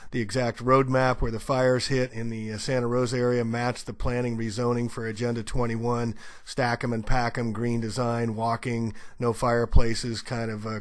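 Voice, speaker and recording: A man hosting an online video, talking insistently with fillers and restarts. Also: medium pitch. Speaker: video host. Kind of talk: casual online commentary.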